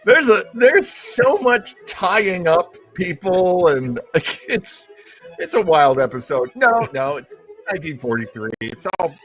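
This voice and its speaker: A man's voice: high-pitched, big pitch swings, slow pace, fairly bright tone, faint music behind, poor audio. A man hosting a casual chat about old-time radio, an enthusiastic and chatty explainer. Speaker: host.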